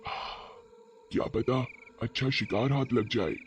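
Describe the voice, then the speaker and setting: A man as a low, scheming tree witch. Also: a little slow, slight background noise, lightly acted. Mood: intrigued, hungry. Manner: musing aloud, exclaiming. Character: greedy, scheming female witch lurking in a tree.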